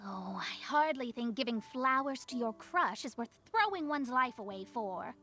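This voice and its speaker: An actress playing a proud young woman astrologer, sharp-tongued, wry and pompous, in a video game cutscene. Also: on the high side, expressive, moderate pace. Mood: teasing.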